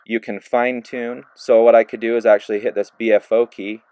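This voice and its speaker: Man, radio reviewer, casual hands-on demo, relaxed talk full of fillers, dry close sound. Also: mid-range voice, fairly quick, no room sound, lo-fi sound. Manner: relaxed, filler-heavy narration while working the radio.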